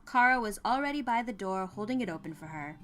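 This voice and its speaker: A woman reading scripted fiction as an expressive audiobook narrator. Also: mid-range voice, quick pace. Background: music.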